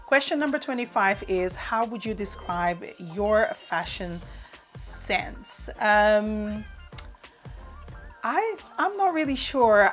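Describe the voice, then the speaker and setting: A woman's voice: mid-range voice, a bit bright. A woman in her forties whose first language is Portuguese, chatting casually in a vlog, reading out questions and answering them with lots of "um"s. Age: 40s. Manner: chatty, reads out each question then answers with fillers. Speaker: vlogger. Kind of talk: casual get-to-know-me tag video. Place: home in the UK.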